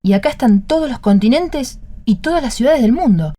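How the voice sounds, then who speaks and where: An actress playing a wondering female explorer in a literary radio drama, expressive and full of wonder. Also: fairly high pitch, a little fast. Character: wondering female explorer describing rooms of maps.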